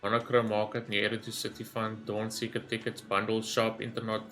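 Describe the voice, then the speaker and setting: A man's voice: fairly low pitch, completely flat delivery, brisk, bright, forceful tone, faint music behind. A man giving casual gameplay commentary, rambling as he thinks aloud and swearing freely. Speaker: gaming streamer recording a reaction video.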